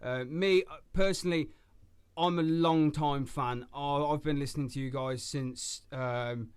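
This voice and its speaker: English host man, smooth voice, halting fan questions. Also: on the high side, fairly even intonation. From England. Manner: hesitant, fan-like, many restarts. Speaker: radio host. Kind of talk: casual interview.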